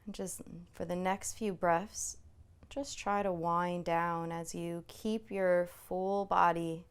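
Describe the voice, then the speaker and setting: A woman meditation instructor leading a guided body scan in a soothing, gently reassuring way, unhurried and leaving long pauses. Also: lowish voice, level tone, clean tone.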